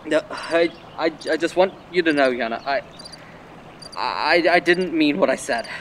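A man's voice: on the high side, unhurried, a bit bright, slight background noise. A man playing a bossy, defensive young older brother in a dramatic audio drama. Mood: shaken, apologetic. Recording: faint outdoor night ambience, crickets.

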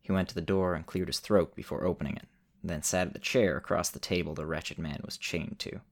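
A deep-voiced man narrates a fantasy story. He delivers it in deliberate, clipped phrases, leaving dramatic pauses between them. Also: fairly even intonation.

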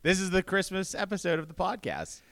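A man's voice: sits high, brisk, gravelly, dry recording. Guy hosting a podcast, loose and chatty, dry close sound. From Boston area. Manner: relaxed, rambling with fillers. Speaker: podcast host and comedy club owner. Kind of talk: casual chat.

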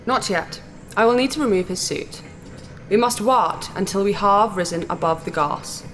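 A woman playing a massive, blunt insectoid alien princess in a sci-fi audio drama, speaking in a formal, deliberate, regal way with odd vowel sounds. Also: mid-range voice, slight background noise, lightly acted. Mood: stern.

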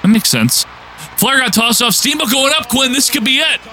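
Male co-host, bright lively voice, dry sarcastic wrestling banter. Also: very high-pitched, normal speed, a little hiss or hum. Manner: sarcastic, jokey play-by-play asides. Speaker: podcast co-host. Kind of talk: casual chat while watching wrestling.

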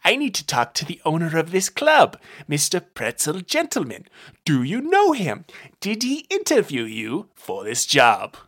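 A man's voice: a little high, wildly swooping pitch, unhurried, fairly bright tone, voice pushed up, heavily acted. A man doing a brash blustering detective. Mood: swaggering. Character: brash, blustering male detective, crude and self-assured.

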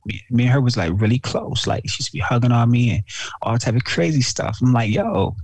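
A man co-hosting a casual talk show, talking in a slangy, animated way, often repeating himself and calling his friend bro. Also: medium pitch, fairly quick, breathy edge, no echo. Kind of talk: casual chat.

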